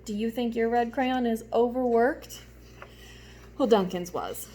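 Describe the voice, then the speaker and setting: A woman reading a children's picture book aloud as an expressive storyteller. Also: on the high side, a little slow.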